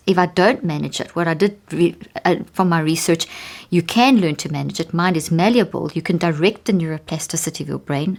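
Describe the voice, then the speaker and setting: South African woman, lower voice, storytelling. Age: middle-aged. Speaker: neuroscientist guest. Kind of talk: podcast interview.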